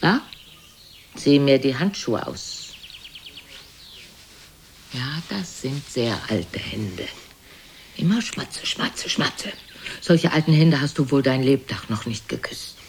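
An older woman playing a frail, sharp-tongued, reproachful old mother in a German radio play, scolding theatrically and dropping into whispers. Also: a bass voice, animated, slow, long pauses, full character voice. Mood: reproachful, imperious. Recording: noisy older radio recording.

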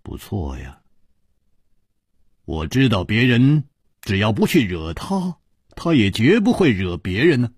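A roguish young hero from an audiobook tale read by a man, composed but weary and rueful. The voice sits low, husky and dry, with measured phrasing. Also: very animated, no echo, full character voice. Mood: calm.

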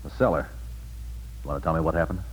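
Deep, quick-talking nightclub boss. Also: male voice, steady tone, slight background noise, low-quality recording. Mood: probing, assured. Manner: brisk, probing, affable. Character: smooth-talking, self-assured male nightclub boss, probing and affable. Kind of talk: 1940s radio drama acting.

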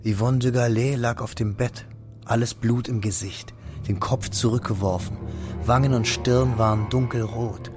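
An actor playing a wistful, restrained young man who narrates a radio drama in measured, hushed tones. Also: mid-range voice, steady tone, music in the background, lightly acted. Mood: grave. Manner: measured, hushed, drops to near whispers.

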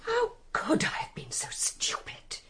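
An actress playing an earnest, easily flustered spinster companion in a radio drama, excitable and emphatic. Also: female voice, measured pace, in a whisper, lightly acted. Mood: dismayed.

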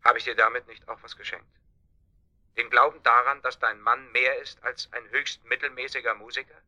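An actor in a German radio drama playing a calculating, self-justifying false friend, speaking in a measured, deliberate way. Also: male voice, medium pitch, steady tone, sharp tone, muffled recording. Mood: defensive, scornful.

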